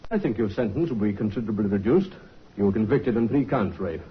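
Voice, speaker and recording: An actor playing a calm, probing Scotland Yard inspector in a 1950s radio drama, questioning politely and persistently. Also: male voice, fairly low pitch, fast talker, slightly rough. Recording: dull vintage radio broadcast.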